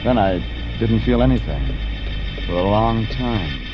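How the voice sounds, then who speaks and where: An actor playing a wry, terse, deadpan private detective in 1940s radio drama style, on an old recording with vehicle noise. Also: male voice, lowish voice, a little slow, lo-fi sound, over loud music. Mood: grim narration. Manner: terse, deadpan, hard-boiled. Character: wry hard-boiled male private detective from Los Angeles.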